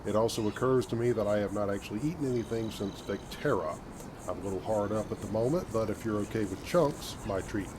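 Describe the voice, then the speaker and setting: A man's voice: lowish voice, fairly quick, natural voice. A man voicing a courteous, wry space adventurer for a game playthrough, with formal, articulate phrasing. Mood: polite, wry. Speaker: gamer voicing his own player character. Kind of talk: amateur voice-over for game playthrough. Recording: home voice-over with clear background noise.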